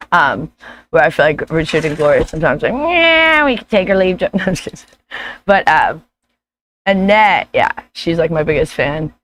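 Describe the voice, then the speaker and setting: A woman with a hoarse, gruff voice chatting casually in her vlog, rambling and laughing often. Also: medium pitch. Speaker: vlogger. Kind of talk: casual chat.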